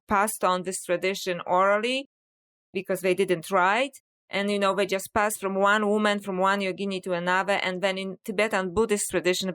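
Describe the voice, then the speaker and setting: A woman with a Polish accent speaks in a smooth, resonant voice of middle pitch. She explains things at a measured, reflective pace as a guest in a conversation, often slipping in "you know.". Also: fairly bright tone. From Poland. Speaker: author and scholar, podcast guest. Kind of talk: interview conversation.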